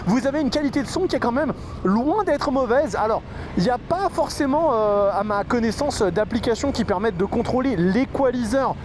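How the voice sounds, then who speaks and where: A man's voice: very high voice, lively intonation, moderate pace. A man reviewing motorcycle intercoms while riding, talking casually with many hesitant fillers into a helmet microphone. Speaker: motorcycle vlogger reviewing gear. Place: outdoors on a motorcycle in traffic. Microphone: helmet-mounted microphone. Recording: noisy outdoor road recording.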